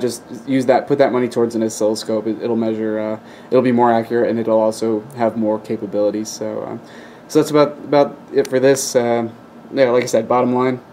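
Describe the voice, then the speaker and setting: Flat-toned man explaining casually, somewhat hesitant. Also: medium pitch, a little hiss or hum. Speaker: video host, radio hobbyist. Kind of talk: casual unscripted demonstration, explaining. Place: indoors at a wooden workbench.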